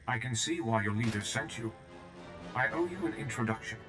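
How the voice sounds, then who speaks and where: A man's voice: on the low side, animated, reverberant, over music, close to an everyday voice. A man voicing a blunt, free-willed medical droid in a video game, speaking formally and plainly. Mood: composed. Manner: formal, plain-spoken, measured. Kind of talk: video game cutscene acting.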